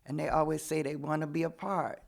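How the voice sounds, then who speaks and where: A woman's voice: sits low, little pitch movement, fairly quick, creaky. A woman in her seventies, a community volunteer chatting casually as a guest and retelling what neighbors said to her. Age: 70s. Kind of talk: casual storytelling.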